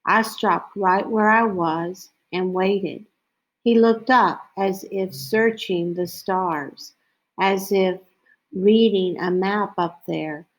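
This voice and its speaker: Very slow-reading woman storyteller. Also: mid-range voice, steady tone, poor audio. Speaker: reader of a children's picture book. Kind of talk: read-aloud storytelling, slow and deliberate.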